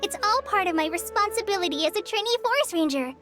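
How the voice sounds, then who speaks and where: Earnest, high-voiced young ranger girl. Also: expressive, fast talker. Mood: modest, grateful. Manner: polite, bashful, slightly hesitant. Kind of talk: video game character acting. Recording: music behind the voice.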